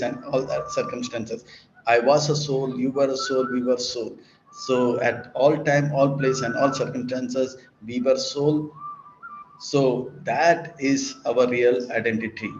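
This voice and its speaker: A man giving a sermon, preaching in repetitive, list-like emphatic phrases and sometimes acting out dialogue. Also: medium pitch. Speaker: monk and preacher. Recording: roomy, dull lecture recording.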